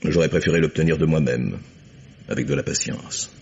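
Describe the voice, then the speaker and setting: An actor playing a proud, guarded chief engineer in a radio mystery, speaking formally and in measured, clipped statements. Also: male voice, a bass voice, vocal fry, darkish tone, slight background noise. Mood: cold calm. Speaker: actor in a radio crime drama. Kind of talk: French radio mystery acting. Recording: muffled old radio recording.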